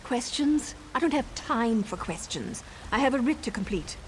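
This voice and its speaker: An actress playing a sardonic, self-assured assassin in a video game, with a teasing, wry way of talking. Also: female voice, fairly high pitch, lively intonation, moderate pace, slight background noise, close to an everyday voice. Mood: brisk, wry. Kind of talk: video game character acting.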